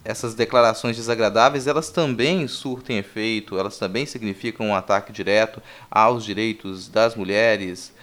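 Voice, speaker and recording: A man's voice: lowish voice, fairly bright tone. A Brazilian man hosting a podcast, giving articulate, wry commentary in a discursive, conversational way. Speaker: podcast host.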